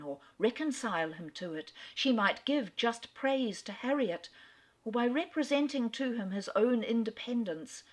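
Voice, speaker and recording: A woman with an Australian accent giving a literary talk and reading a novel aloud with measured, expressive storytelling. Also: medium pitch. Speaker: literary speaker. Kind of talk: lecture ending in a dramatic reading.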